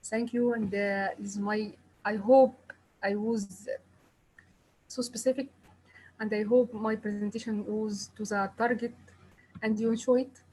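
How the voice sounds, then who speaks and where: A woman with an Arabic accent, a pediatrician speaking as the invited presenter of an online talk, halting and apologetic in her English. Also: medium pitch, steady tone, dark tone, a little hiss or hum, low-quality recording. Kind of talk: closing a webinar presentation.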